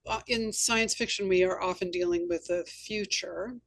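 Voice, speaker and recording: A woman host and novelist talking conversationally about writing, with measured, articulate phrasing. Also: on the low side, steady tone. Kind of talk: conversational literary discussion.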